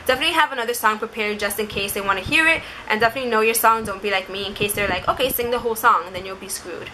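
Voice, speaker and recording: A woman's voice: medium pitch, racing, bright, forceful tone, slight background noise, low-quality recording. A woman vlogger chatting casually and informally, rambling along as she shares her experience and advice, often saying "like". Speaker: vlogger sharing audition experience. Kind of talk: casual chat to camera.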